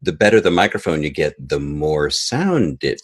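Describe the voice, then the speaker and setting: Guy guest with a deep raspy voice, chatty, tells stories by acting out the quotes. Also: normal speed. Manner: animated storytelling, acts out quoted remarks. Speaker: interview guest, audio drama creator. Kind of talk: casual conversational interview.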